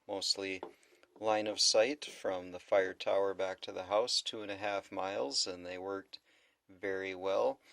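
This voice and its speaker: A man giving an unscripted product review in a measured, explanatory way with trailing pauses. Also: fairly low pitch, creaky, a bit bright, no echo.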